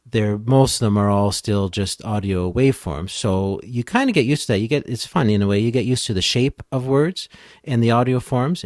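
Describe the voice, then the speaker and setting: Guy with a fairly deep voice, close dry sound, casually explaining stuff like an expert guest. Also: darkish tone, no echo. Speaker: podcast editing expert guest. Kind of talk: casual explanatory interview talk.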